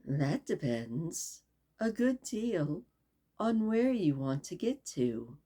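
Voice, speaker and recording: A woman's voice: lowish voice, sing-song, slow pace, dark tone, heavily acted. A woman doing a sly drawling cat. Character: sly, grinning, riddling cat. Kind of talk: storybook read-aloud.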